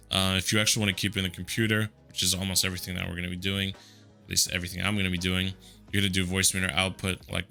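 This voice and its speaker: A man with a deep voice walking through software settings in a relaxed, conversational way, with a headset mic. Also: vocal fry. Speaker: tutorial host. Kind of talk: casual screen-recorded software tutorial.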